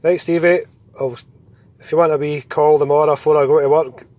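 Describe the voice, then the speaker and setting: A man's voice: fairly high pitch, level tone, fairly quick, darkish tone, dry recording. A Scottish man chatting casually as a CB radio operator.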